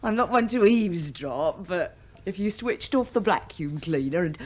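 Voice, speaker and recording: A woman's voice: on the low side, lively intonation, normal speed. A woman playing a nosy, cheeky English cleaning woman in a radio drama, chatting in an indignant, confiding way. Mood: gossipy. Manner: chatty, indignant, conspiratorial gossip. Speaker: actress in a radio play. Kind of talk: British radio drama acting.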